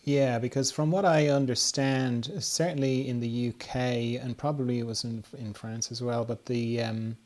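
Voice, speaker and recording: A man hosting a podcast, chatting casually in a halting, anecdotal way. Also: mid-range voice, fairly even intonation, darkish tone. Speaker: podcast host. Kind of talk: casual chat.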